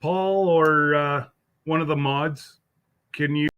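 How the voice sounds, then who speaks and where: A man's voice: on the high side, fairly even intonation, slow pace, clear, resonant tone, highs cut off. A man hosting a casual live stream, rambling along as he answers viewers by name, with many fillers and restarts. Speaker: livestream host.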